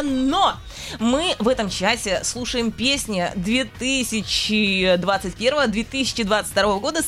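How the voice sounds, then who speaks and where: A woman's voice: fairly high pitch, a bit bright. A woman in her thirties hosting a live radio show, chatting casually and informally. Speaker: radio host. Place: radio studio. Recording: music playing behind.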